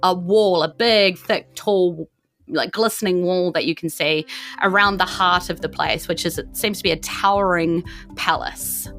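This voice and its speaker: A woman's voice: on the low side, steady tone, measured pace, a bit bright, faint music behind. A woman narrating a tabletop game as game master, descriptive and conversational.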